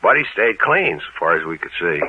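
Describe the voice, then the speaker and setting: An American man playing a gruff, plain-spoken police sergeant in an old-time radio drama, talking in a casual, folksy, matter-of-fact way. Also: deep voice, sing-song, a little fast, noisy background. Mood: wry, suspicious. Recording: narrow, tinny old radio recording.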